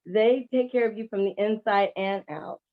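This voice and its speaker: A cheerful, happy-go-lucky woman casually pitching her products as a guest seller. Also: moderate pace, phone-quality sound. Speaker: guest vendor of essential oils.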